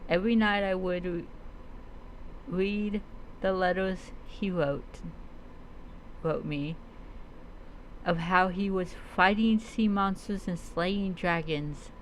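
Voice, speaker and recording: A woman's voice: lowish voice, slow pace, pauses often, a little hiss or hum, poor audio. A woman reading a first-person adventure story aloud as a role-play storyteller, stumbling and repeating words as she goes.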